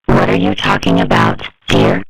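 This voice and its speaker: A performer voicing a frank, supportive middle-aged mother in a comic strip cartoon dub, gravelly and matter-of-fact. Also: rumbling low, normal speed, fairly bright tone, dry recording, exaggerated voice, poor audio. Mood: reassuring, frank.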